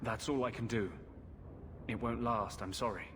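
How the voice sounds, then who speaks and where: A man's voice: medium pitch, fairly even intonation, moderate pace, lightly acted. A man playing a grave, troubled healer mage in a video game cutscene. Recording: noisy, long hall reverb.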